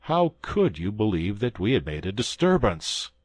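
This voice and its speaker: Man doing a refined musketeer, gently reproachful, low voice, dry sound. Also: big pitch swings, normal speed, no room sound.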